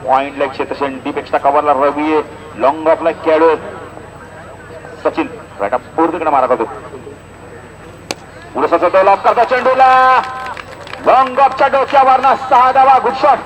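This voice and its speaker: A man with a Maharashtrian accent works as a live cricket commentator and announcer. His voice sits a little high. He is recorded at an outdoor ground with plenty of crowd sound behind him. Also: fairly even intonation, a little fast, pauses often, low-quality recording.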